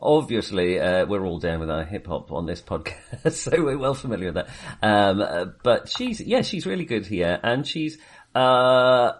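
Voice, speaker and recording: A low-voiced man talks casually about films as a podcast co-host. His pitch swings widely and expressively, and he feels his way through opinions, circling back to qualify them. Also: big pitch swings, moderate pace. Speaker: film review podcast co-host. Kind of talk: casual film discussion.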